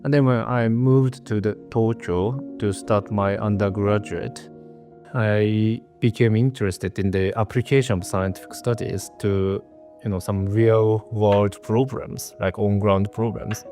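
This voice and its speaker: A man's voice: fairly low pitch, steady tone, unhurried, warm tone, soft background music. A middle-aged man from Japan with a Japanese accent, a researcher giving earnest, carefully prepared interview answers with frequent restarts, sounding a little nervous.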